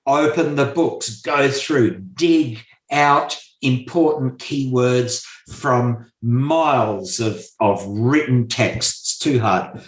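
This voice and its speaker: A man talks through his ideas in an unhurried, careful way, pausing on fillers and repeating the key point for emphasis. He is recorded in a room with a noticeable echo. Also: mid-range voice. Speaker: guest expert on memory and mind maps. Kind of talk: explanatory interview talk. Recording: roomy echo.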